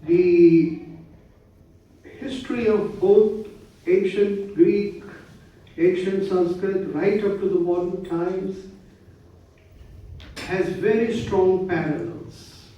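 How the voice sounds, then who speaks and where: A man from India, a professor giving a measured formal speech. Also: sits high, mellow, muffled recording. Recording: echoey hall, distant mic, faint noise.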